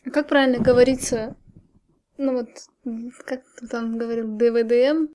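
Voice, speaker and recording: A woman with a fairly high yet very mellow voice joins a podcast chat. Her delivery is informal and questioning. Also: steady tone, moderate pace, a little hiss or hum. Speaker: podcast panelist. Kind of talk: casual chat.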